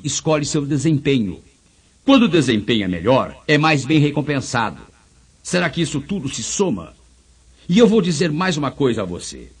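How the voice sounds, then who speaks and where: Husky, animated Brazilian motivational speaker, male. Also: medium pitch. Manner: emphatic, rhetorical questioning. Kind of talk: motivational speech with anecdotes.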